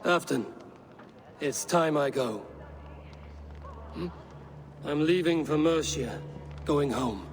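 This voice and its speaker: An actor playing a fearless Viking raider and clan leader, speaking in a steady, formal, measured way in a game cutscene. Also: male voice, on the high side, in a large hall, background noise. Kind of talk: video game cutscene acting.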